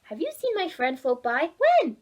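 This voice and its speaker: A woman's voice: high voice, huge pitch swings, normal speed, voice pushed up. A woman voicing a young boy owl in a children's storybook, eager, curious and full of questions. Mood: excited, curious. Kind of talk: children's storybook read aloud.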